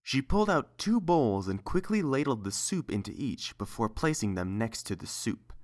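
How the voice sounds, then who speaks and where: A narrator reading a scripted story aloud as an audiobook, in a measured storytelling manner. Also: medium pitch, sing-song, darkish tone. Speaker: narrator and reader.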